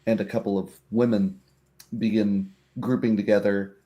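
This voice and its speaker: Low-voiced male game master. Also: flat delivery, normal speed, warm tone. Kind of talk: tabletop game narration.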